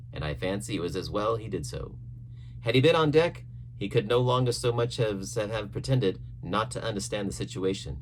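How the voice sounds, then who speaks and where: Low-pitched man narrating an adventure story. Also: a little hiss or hum, low-quality recording. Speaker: audiobook narrator. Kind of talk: expressive scripted storybook narration.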